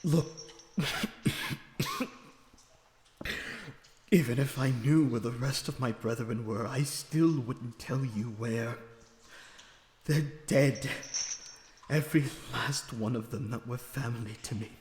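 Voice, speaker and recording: A man playing a lonesome captive vampire in an audio roleplay, weary, bitter and sardonic, with measured dramatic phrasing. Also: medium pitch, darkish tone, lightly acted. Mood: bitter, defiant grief. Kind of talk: ASMR audio roleplay acting. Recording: echoey reverberant room with background noise.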